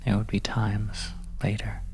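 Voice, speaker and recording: An ASMR performer of unclear sex plays a weary, bleak narrator in a horror audio drama. The voice is a soft whisper, very slow and halting, with drawn-out phrases. An oppressive, noisy ambience sits behind it. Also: medium pitch, completely flat delivery, natural voice. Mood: numb, haunted. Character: bleak, weary narrator of a desolate ash-covered world.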